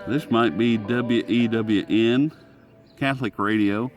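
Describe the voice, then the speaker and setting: A man's voice: mid-range voice, steady tone, slow pace, muffled recording. An American man hosting a casual hobby radio demonstration outdoors, talking in a folksy, rambling way. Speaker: host. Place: outdoors in sunlight.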